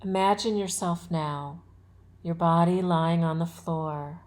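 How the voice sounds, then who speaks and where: A woman leads a guided meditation in a fairly low voice. She speaks very slowly, giving relaxation instructions in short, deliberate phrases with a soothing manner. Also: fairly even intonation, a little mellow. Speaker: yoga nidra instructor.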